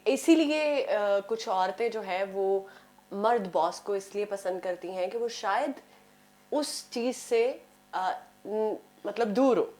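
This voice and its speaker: A woman's voice: mid-range voice, slow, a little mellow. A woman with a Pakistani accent giving her opinions conversationally as a panel guest, mixing in English phrases. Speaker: talk show guest.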